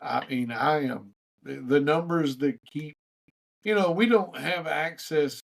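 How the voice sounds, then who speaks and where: A man's voice: fairly high pitch, unhurried, creaky. A man co-hosting a podcast, chatting casually with his co-hosts and introducing a guest, often stumbling over and repeating words. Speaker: podcast co-host. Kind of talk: casual podcast banter and interviewing.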